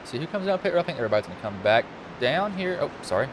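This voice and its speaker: A young man with a slightly deep voice commentating a sim truck race live, casual and rambling, getting excited when cars wreck. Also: racing, noisy background. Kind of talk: live sim racing play-by-play.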